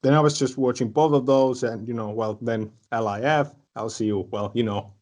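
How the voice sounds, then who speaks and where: A man's voice: medium pitch, normal speed, a little mellow. A young man from Finland with a Finnish accent, rambling through a casual video call chat and laughing between phrases. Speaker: fan film creator.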